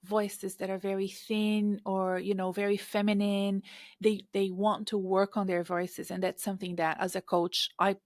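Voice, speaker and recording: A woman's voice: mid-range voice, level tone, moderate pace, no room sound, clean studio sound. A woman hosting a job-hunting podcast and coaching clients, asking questions in a thoughtful way with hesitant restarts. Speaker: podcast host and career coach. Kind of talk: casual interview talk.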